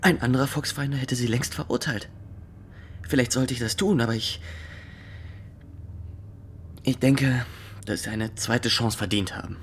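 A man playing an earnest young fox inspector in a radio drama, speaking in a stiff, formal and deliberate way. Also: mid-range voice, steady tone, a little hiss or hum, close to an everyday voice. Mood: earnest, firm. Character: rigid, rule-bound young fox inspector, official and earnest.

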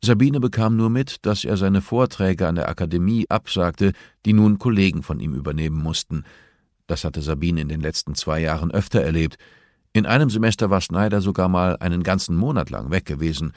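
A man's voice: sits low, fairly quick, a little mellow, dry recording, clean studio sound. A man reading a novel aloud as scripted audiobook narration.

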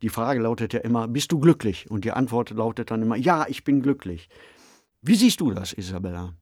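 A middle-aged or older man in a reflective podcast discussion, phrasing deliberately. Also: medium pitch, lively intonation, darkish tone, no echo, clean studio sound. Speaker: podcast discussion participant, novelist.

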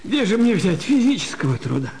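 High-voiced, pleading ragged beggar. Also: male voice, sing-song, fairly quick, a little mellow. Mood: helpless, protesting. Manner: pleading, repeats himself, falters. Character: ragged drunken beggar man, pleading and self-justifying. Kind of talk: dramatised literary radio play in Russian.

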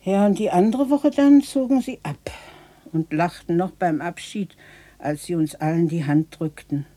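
Slow, mellow old widow storyteller. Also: female voice, fairly low pitch. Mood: reminiscing, animated. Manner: folksy, unhurried, vivid oral storytelling. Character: old widowed townswoman recalling her stubborn late husband. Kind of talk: German radio play storytelling monologue.

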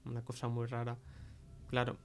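Young guy from spain, casual podcast guest, kinda monotone n hesitant, quiet music under. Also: medium pitch, normal speed. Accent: Spain Spanish. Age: young adult. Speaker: podcast guest, film producer. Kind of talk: informal testimony in conversation.

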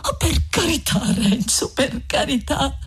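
A woman's voice: sits high, lively intonation, unhurried. A woman reading an audiobook voices a devout young peasant woman who talks in a tearful, imploring way. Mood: anguished, imploring. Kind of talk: audiobook reading of a classic novel.

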